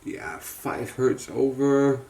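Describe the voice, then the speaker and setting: Unhurried man thinking aloud at work. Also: mid-range voice, expressive, creaky, a little mellow. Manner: muttering to himself while working, thinking aloud. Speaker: repair technician and channel host. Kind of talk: unscripted hands-on repair demonstration, casual commentary.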